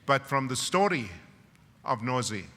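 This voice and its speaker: A middle-aged man with a South African English accent giving a graduation speech as a university professor, a dramatic storyteller who swings from loud, wry punchlines to hushed, emotional moments. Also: mid-range voice, very animated, a little slow. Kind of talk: ceremonial speech with personal stories. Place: large graduation hall. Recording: long hall reverb from a ceremony PA.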